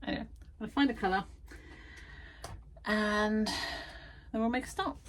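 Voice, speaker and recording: A woman's voice: medium pitch, unhurried, slight background noise. A woman vlogging from her garden shed studio, chatty and self-deprecating, tired after busy weeks. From United Kingdom. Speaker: vlogger and art workshop tutor. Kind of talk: casual chatty vlog.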